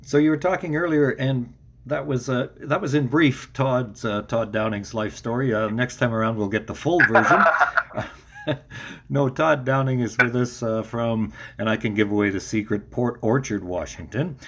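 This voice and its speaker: A man's voice: mid-range voice, normal speed, fairly bright tone. Middle-aged man, mid-range voice, podcast host, casual and conversational, dryly joking. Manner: joking, wry.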